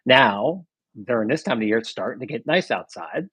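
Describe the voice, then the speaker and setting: A man's voice: medium pitch, expressive, moderate pace, fairly bright tone, breathy edge, dry recording. A man, a cycling coach, explaining training ideas casually with many "right?" checks.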